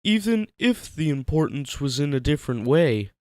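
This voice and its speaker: A man's voice: a little high, big pitch swings, normal speed, darkish tone, clean tone, dry recording, studio quality, voice pushed up. Cartoon starfish best friend played by a man: exasperated but caring, plaintive, voice pushed upward. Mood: exasperated, needy. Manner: plaintive, reproachful. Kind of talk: dramatic fan fiction reading.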